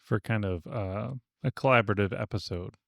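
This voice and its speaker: A man's voice: on the low side, normal speed, vocal fry, a bit bright, no echo, studio quality. A man co-hosting a podcast in a casual, conversational discussion. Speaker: podcast co-host.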